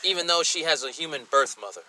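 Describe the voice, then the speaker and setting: Blunt man chatting over clear background noise. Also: on the high side, lively intonation, fast, sharp tone, rough recording. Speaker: podcast co-host. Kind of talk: casual podcast chat.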